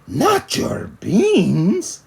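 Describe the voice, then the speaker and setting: A man voicing a small, excitable boy cat who pretends to be a bold sword fighter, with theatrical mock-Spanish bravado. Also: sits high, very slow, gravelly, husky, voice pushed up, exaggerated voice. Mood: outraged.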